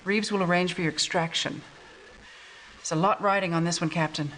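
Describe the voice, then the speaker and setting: An actress playing a grave, formal resistance commander briefing a soldier in a video game cutscene. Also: female voice, on the low side, steady tone, brisk, close to an everyday voice. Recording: clearly noisy game cutscene audio.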